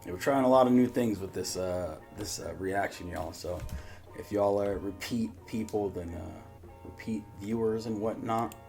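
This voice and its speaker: A man chats casually as host of a reaction show. His voice sits somewhat low and he rambles in slang, with soft music in the background. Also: normal speed. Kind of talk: casual chat.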